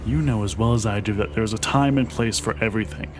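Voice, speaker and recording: An actor playing a hostile senior agent in an audio roleplay drama, scornful, commanding and threatening. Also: male voice, on the low side, fast talker, natural voice. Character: hostile, bossy senior male agent, antagonist. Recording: music behind the voice.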